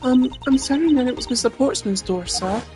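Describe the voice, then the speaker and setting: A man's voice: very high-pitched, a little fast, music in the background, pitched above the performer's own voice. A man voicing a timid, polite young woman in a video game, with a hesitant and deferential manner. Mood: nervous, polite.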